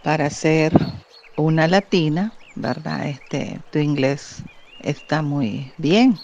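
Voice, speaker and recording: A Miskito-speaking woman from Nicaragua, answering interview questions in an earnest, deliberate way. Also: sits low, a little mellow. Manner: earnest, deliberate, frequent "¿verdad?" tag. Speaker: guest. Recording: muffled lo-fi audio.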